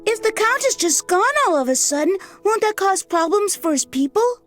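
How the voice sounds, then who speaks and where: A woman voicing a gentle, worried young girl in a video game, with a hesitant, earnest manner. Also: extremely high pitch, lively intonation, moderate pace, soft background music. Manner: hesitant, soft-hearted, earnest. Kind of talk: animated video game voice acting.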